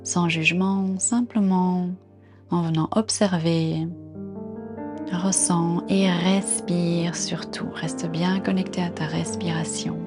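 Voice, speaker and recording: A woman guiding a body scan meditation in a calm, gentle, unhurried manner, giving soft instructions with pauses. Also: lowish voice, over music. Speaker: meditation guide.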